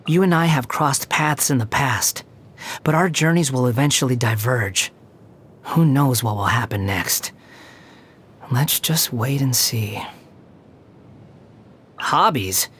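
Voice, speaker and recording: A scornful young immortal wanderer for a video game. The voice is husky and sits at an average male pitch, with a haughty, sardonic edge to every line. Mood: brooding, cold, then sardonic, aloof. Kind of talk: scripted video game character voice lines.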